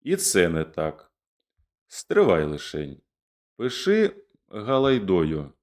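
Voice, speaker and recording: A man's voice: sits low, wildly swooping pitch, slow pace, darkish tone, full character voice. A man voicing a grieving, vengeful young male rebel in a declaimed verse reading. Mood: impassioned. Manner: impassioned, declamatory.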